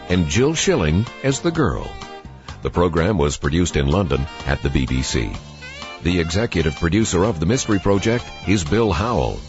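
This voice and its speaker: A man hosting a radio programme, reading scripted closing credits in an announcer's style. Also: extremely low pitch. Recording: muffled broadcast with music behind. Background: music.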